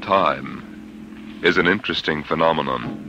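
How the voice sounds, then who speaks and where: A man's voice: extremely low pitch, a little slow, very noisy. A man acting as a grandiose announcer of a vintage science fiction radio series, portentous and dramatic. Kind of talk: vintage radio sci-fi narration. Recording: dull old radio sound, loud music.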